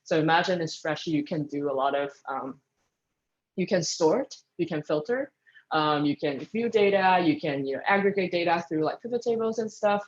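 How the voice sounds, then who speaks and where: A speaker answers interview questions at a mid pitch, thinking aloud with frequent ums and restarted sentences. The audio sounds dull and lo-fi. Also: moderate pace. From Taiwan. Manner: thoughtful, lots of ums and restarts. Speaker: guest speaker, engineering manager.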